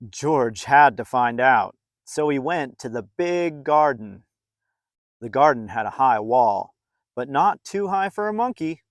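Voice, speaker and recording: A man reading a story aloud to young children in a playful, expressive way, with dramatic emphasis and drawn-out words. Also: mid-range voice, dry recording, studio quality.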